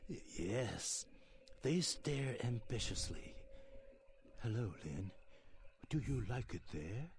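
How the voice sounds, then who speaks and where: Creepy, slow-spoken old shopkeeper. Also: male voice, mid-range voice, big pitch swings, background noise. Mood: eerily pleased. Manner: slow, deliberate, formal. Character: creepy old shopkeeper dealing in talismans, eerily polite. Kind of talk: video game voice acting.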